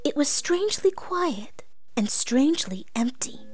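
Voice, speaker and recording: A woman playing an excitable storyteller, narrating a storybook read-along with theatrical drama. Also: high-pitched, a little slow, faint music behind. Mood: hushed, grave. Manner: theatrical, hushed then exclaiming.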